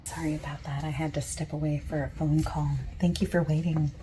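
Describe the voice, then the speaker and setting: A woman speaking softly and sometimes in a whispery breath, gently reassuring, in an ASMR roleplay as a friendly bookstore clerk. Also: sits low, little pitch movement, a little hiss or hum. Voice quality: soft, often dropping into whispery breathiness. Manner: gentle, unhurried, reassuring customer-service talk. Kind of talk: soft-spoken ASMR roleplay.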